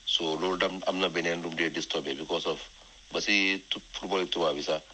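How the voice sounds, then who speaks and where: A man's voice: low voice, steady tone, quick pace, bright, forceful tone, poor audio. A man with a Gambian accent, a former player talking in a panel discussion. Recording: faint background noise.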